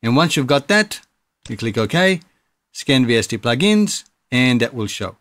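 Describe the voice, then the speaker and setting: A man in his thirties giving a casual software tutorial, explaining things step by step. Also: mid-range voice, very animated, a little slow, no echo. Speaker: tutorial presenter.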